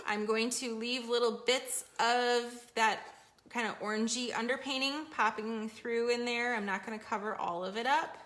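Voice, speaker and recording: A chatty, encouraging woman teaching a live painting lesson, her voice bright and forward. She is slightly hoarse from losing her voice and talks to viewers while she works at her easel. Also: on the high side. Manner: chatty, encouraging, reads viewer comments aloud. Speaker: painting instructor. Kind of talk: live paint-along demonstration. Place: home art studio at an easel.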